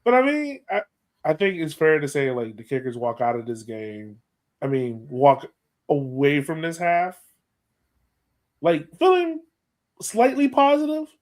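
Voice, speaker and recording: A man hosting a sports podcast, talking through a match in an animated, casual way and often checking his points with a quick "right?". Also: on the high side. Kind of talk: casual match analysis chat.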